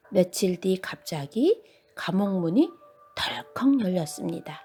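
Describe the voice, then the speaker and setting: Animated, creaky-edged woman telling bedtime stories. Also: medium pitch. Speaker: storyteller. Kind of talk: expressive children's bedtime story narration.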